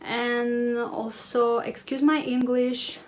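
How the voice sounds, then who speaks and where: A woman's voice: a little high, level tone, takes it slowly. Chatty woman in her twenties, English. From Russia. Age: 20s. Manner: chatty, upbeat, hesitant non-native phrasing. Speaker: vlogger. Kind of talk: casual talk to camera and cooking voice-over. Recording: roomy sound with faint music behind.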